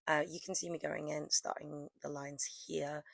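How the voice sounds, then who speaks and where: Hoarse young woman with a cold. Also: sits low, level tone, normal speed, dry recording. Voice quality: hoarse, croaky. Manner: rambling, fillers like "like". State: losing her voice from a cold. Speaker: press-on nail artist. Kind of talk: casual chatty vlog narration.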